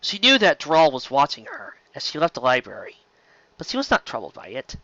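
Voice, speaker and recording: A man's voice: medium pitch, huge pitch swings, brisk, bright, forceful tone, dry recording. A man reading science fiction as a dramatic narrator, recorded close and slightly dull. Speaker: story reader.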